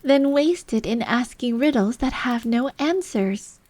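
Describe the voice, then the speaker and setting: A woman's voice: fairly high pitch, expressive, measured pace, darkish tone, dry recording, pitched above the performer's own voice. A woman voicing a polite, earnest, curious young girl in a storybook. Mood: weary.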